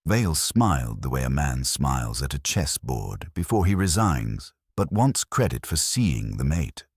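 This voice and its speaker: Very deep, husky male storyteller. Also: big pitch swings, unhurried, no echo, studio quality. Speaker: audiobook narrator. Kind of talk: scripted detective story narration.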